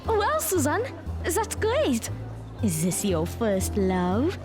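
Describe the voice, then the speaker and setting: A woman's voice: a little high, wildly swooping pitch, slow pace, over music, exaggerated voice. A woman playing a playful, teasing and encouraging teenage schoolgirl in an audio drama, with a coaxing manner.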